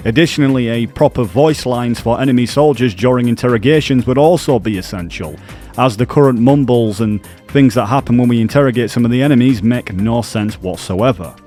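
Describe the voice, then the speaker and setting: A man's voice: mid-range voice, a little fast, dark tone, faint music behind. A man presenting gaming news as scripted video narration.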